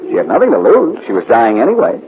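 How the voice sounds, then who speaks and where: An actor playing a confident, wry amateur detective in a 1940s radio drama, with brisk, snappy delivery. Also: male voice, medium pitch, wildly swooping pitch. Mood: brisk, assured. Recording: muffled, noisy 1940s radio recording.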